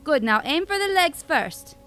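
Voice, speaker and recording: A scheming phone psychic. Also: female voice, very animated, unhurried, loud music behind the voice. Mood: conspiratorial. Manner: sugary, conspiratorial sales pitch. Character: scheming female phone psychic, middle-aged, manipulative. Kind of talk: radio drama acting.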